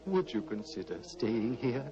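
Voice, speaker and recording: An actor playing an old, fatherly Tibetan monastery lama in a radio drama, speaking formally and with gentle wistfulness. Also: medium pitch, very animated, a little slow, background noise. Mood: wistful, tender. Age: older. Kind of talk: 1940s radio drama acting. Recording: muffled vintage radio recording.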